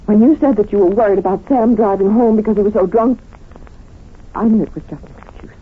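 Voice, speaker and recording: An actress playing a devoted, possessive wife in an old radio mystery, speaking with quiet, insistent intensity. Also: female voice, medium pitch, quick pace, breathy edge, close to an everyday voice. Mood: pleading, knowing. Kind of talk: 1940s radio drama acting. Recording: muffled, noisy old radio recording.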